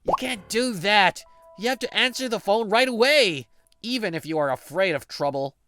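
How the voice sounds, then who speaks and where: 남자 성우가 연기하는 억양 변화가 큰 느긋한 직장 선배. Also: male voice, sits high, lively intonation, normal speed, bright, forceful tone, soft background music, heavily acted. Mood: reassuring. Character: easygoing, patient male office senior training a junior. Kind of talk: English manga dub, narration and dialogue.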